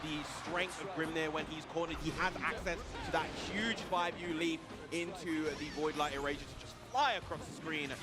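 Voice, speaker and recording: A man's voice: a little high, fairly even intonation, normal speed, hardly any pauses. A man giving emphatic, analytical live commentary on a fighting game tournament. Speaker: esports commentator.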